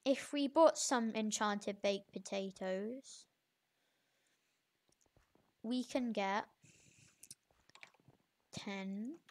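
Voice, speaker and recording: A young video creator with an informal, halting, self-correcting way of talking, giving a casual game tutorial. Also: mid-range voice, gravelly. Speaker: gaming video creator, host.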